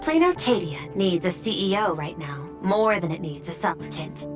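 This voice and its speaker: A woman's voice: fairly low pitch, lively intonation, moderate pace, close to an everyday voice. A woman voicing a precise, logical corporate official in an anime game, formal and analytical, with music behind. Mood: coolly logical.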